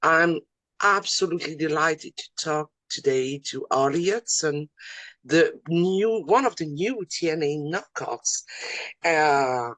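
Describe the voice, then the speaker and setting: A woman's voice: low voice, expressive, slow, fairly bright tone, dry recording. A woman with a French accent interviewing a guest in casual talk, with many fillers and restarts.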